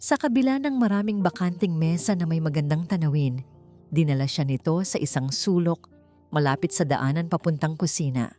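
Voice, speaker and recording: A woman with a Filipino accent narrating a scripted dramatic story in an expressive, measured storytelling manner. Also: low voice. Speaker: audiobook narrator. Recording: music behind the voice. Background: background music.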